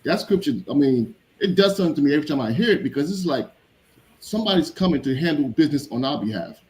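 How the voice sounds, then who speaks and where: A man's voice: on the high side, animated, fast talker, a little mellow. A man on an online panel discussion, making a passionate argument and stressing his key words. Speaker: panel member.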